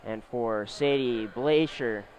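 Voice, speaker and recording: A man calling a swim meet live as play-by-play commentator, with measured announcer phrasing. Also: medium pitch. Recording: steady clear background venue noise. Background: swim meet ambience.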